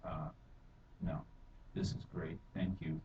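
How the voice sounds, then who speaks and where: A deep-voiced middle-aged man testifies in a rambling way, with many fillers, and turns forceful as he goes. He sounds dull and distant, caught across a reverberant council chamber. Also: level tone, takes it slowly. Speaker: public testifier. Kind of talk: public testimony at a council hearing. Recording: echoey hall from far off, dull and noisy.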